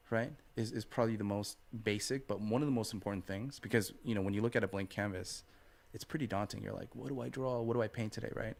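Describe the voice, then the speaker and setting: A man speaking as a guest artist in a casual podcast interview, thoughtful and hesitant, repeating phrases and checking in with "right" and "you know". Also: on the low side. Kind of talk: casual podcast interview, explaining art process.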